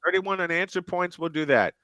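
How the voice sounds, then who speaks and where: A man's voice: high voice, a little fast, sharp tone, dry recording. A man hosting a live stream, calling plays as a fan commentator and reading viewer comments aloud. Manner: calls plays and reads viewer chat aloud.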